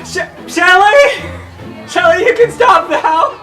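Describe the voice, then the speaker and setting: A woman's voice: extremely high pitch, expressive, slow pace, exaggerated voice. A woman playing a flustered, apologetic person chasing a runaway pet in an improvised tabletop roleplay. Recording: distant, echoey, music and crowd behind.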